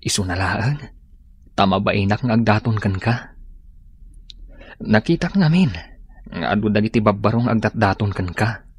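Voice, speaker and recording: A man's voice: lowish voice, moderate pace, long pauses, slightly rough, dry recording. A man playing an earnest, lovestruck suitor in a radio drama, tender and pleading. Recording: radio drama with music behind.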